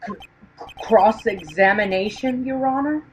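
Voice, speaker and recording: A man's voice: high-pitched, unhurried, fairly bright tone, reverberant. A man playing a nervous, inexperienced young defense lawyer, hesitant and flustered, in a game fandub. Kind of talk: game fandub acting, inner monologue.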